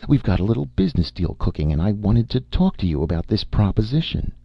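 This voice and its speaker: Man doing a calm, sly young woman, raised voice, husky and gravelly, lively swoops, dull lo-fi sound. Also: on the low side, fast, no echo, pitched above the performer's own voice, exaggerated voice. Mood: cool, persuasive. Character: cool, poised, pushy young woman dealmaker. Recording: dull lo-fi recording.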